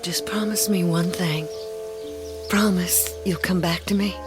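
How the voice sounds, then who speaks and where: A woman's voice: lowish voice, steady tone, unhurried, over music, lightly acted. An actress playing an anxious, pleading planter's wife and mother in a radio drama, earnest and emotional. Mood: fearful, pleading.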